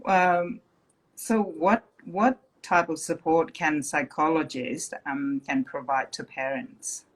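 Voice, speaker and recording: A woman with a Nepali accent hosts the chat over a video call, speaking at a slow, unhurried pace. Her voice sits very low for a woman, and she often pauses to affirm the guest. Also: fairly even intonation, breathy edge, rough recording. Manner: unhurried, many ums, affirming. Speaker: host. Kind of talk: casual livestream interview.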